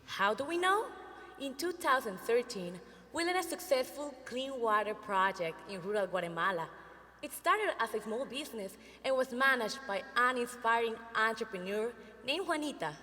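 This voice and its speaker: Bright-voiced woman from El Salvador presenting. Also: on the high side, lively intonation, in a large hall. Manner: clear, measured delivery of prepared lines. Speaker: student presenter. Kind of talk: rehearsed competition presentation.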